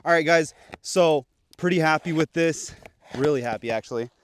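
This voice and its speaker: A man's voice: fairly high pitch, moderate pace. Hyped young guy vlogging outdoors. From Pacific Northwest of the US. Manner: hyped, slangy, talks to camera. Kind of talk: excited outdoor archery vlog. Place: open public land outdoors. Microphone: action camera.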